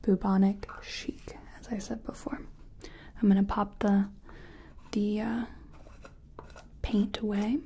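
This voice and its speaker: A woman playing a gentle, chatty makeup competition contestant in a soft-spoken, whispery ASMR personal attention role-play. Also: medium pitch, flat delivery. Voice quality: breathy, whispery. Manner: gentle, unhurried, chatty, reassuring. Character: friendly, self-taught makeup competition contestant.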